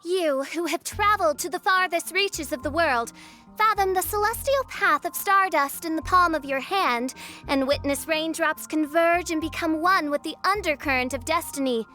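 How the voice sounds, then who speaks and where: A woman's voice: very high voice, moderate pace, bright, forceful tone, soft background music. A woman voicing a grandiose teenage girl who poses as a princess in a video game, declaiming pompously in archaic phrases. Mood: imperious. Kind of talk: video game cutscene acting.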